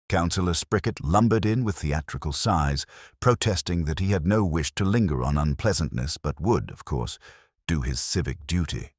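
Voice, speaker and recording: Deep-voiced male mystery narrator. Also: no room sound, studio quality. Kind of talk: scripted audiobook narration.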